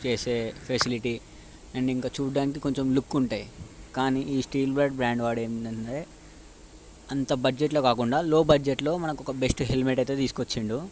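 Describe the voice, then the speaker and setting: Man with Telangana Telugu accent, even pitch. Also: fast talker, slight background noise. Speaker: motovlogger presenting a product review. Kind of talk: casual unboxing and review talk.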